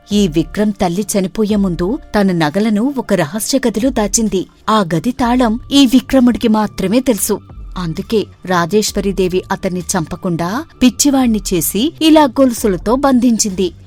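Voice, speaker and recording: Woman as a sharp, fearless young bride, mid pitch. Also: animated, moderate pace, soft background music, close to an everyday voice. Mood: determined. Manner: bold, defiant. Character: clever brave young bride.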